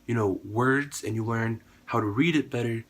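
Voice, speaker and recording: A man's voice: mid-range voice, normal speed. A man with a cold and a partly lost voice, talking casually to camera in a rambling, conversational way. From United States. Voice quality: husky. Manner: rambling, conversational, with many fillers. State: sick, voice partly gone. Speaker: YouTuber.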